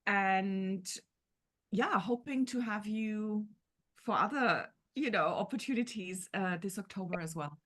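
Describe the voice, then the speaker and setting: A woman's voice: medium pitch, measured pace, a bit bright. A woman in her forties hosting an online parenting talk, upbeat and enthusiastic, on a video call from home. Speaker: host of parenting community talk. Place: home room with shelves.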